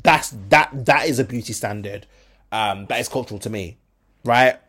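A man from Birmingham with a Birmingham accent, hosting a podcast in a blunt, animated, casual way, swearing freely and swinging between loud and quiet. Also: mid-range voice, normal speed. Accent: Birmingham English. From Birmingham, England. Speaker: podcast host. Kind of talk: casual opinionated chat.

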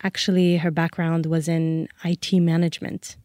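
A woman hosting a podcast, reading a scripted introduction in a measured way into a close studio mic. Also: sits low, level tone, warm tone, no echo. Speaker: podcast host.

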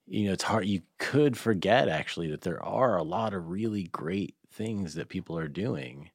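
A man's voice: deep voice, animated, moderate pace, husky, no echo, studio quality. A man hosting a casual podcast interview, talking informally and feeling his way through his questions. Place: studio.

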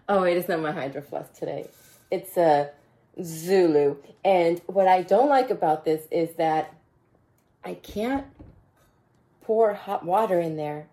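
A raspy-voiced woman hosts her podcast in an unhurried, easygoing way. Her voice sits on the low side, and she often repeats a phrase as she coaches her listeners. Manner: warm mentoring tone, repeats phrases, bursts of laughter. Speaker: podcast host. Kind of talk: casual motivational podcast talk.

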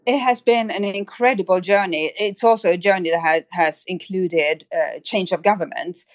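A woman speaking as an expert guest in an interview, explaining things in an articulate, measured way. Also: fairly low pitch, dry recording. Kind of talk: spoken analysis in an interview.

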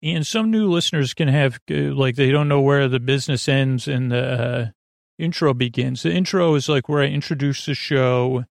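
A man hosting a sleep podcast in a rambling, conversational bedtime monologue, halting and drifting off on tangents in a gravelly voice. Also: mid-range voice, no echo, studio quality.

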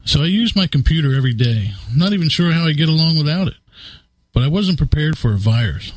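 Animated man in a scripted ad testimonial. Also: a little high, fast talker, no echo, poor audio. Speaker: advertisement testimonial speaker.

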